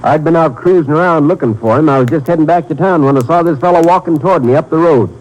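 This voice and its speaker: An actor playing a folksy, plain-spoken rural Texas sheriff in a vintage radio drama. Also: male voice, on the high side, quick pace, darkish tone, close to an everyday voice, rough recording. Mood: keen, determined. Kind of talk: 1950s radio drama acting.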